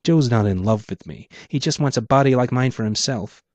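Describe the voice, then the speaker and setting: A man's voice: mid-range voice, a little fast, darkish tone, no echo, pitched above the performer's own voice, lightly acted. Man reading as an enigmatic, bitter woman of living flesh. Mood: indignant, scornful. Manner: intoning, disdainful. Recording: dull muffled lo-fi audio.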